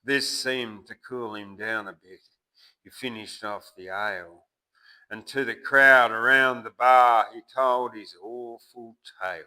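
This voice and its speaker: Aussie bloke doing a dramatic bush poem, slow delivery, bright punchy voice, big loud-to-quiet swings. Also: male voice, medium pitch, rough recording. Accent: Australian. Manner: theatrical, emphatic swings between loud and hushed. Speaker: poetry reciter and channel host. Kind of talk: dramatic bush ballad recital.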